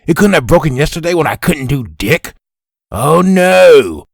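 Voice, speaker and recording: A man playing a grumbling young slacker superhero in a comic dub, with a rough voice and an informal, whiny manner. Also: fairly high pitch, big pitch swings, a little slow, no echo, studio quality, full character voice. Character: grumbling slacker superhero with stumpy rock fingers.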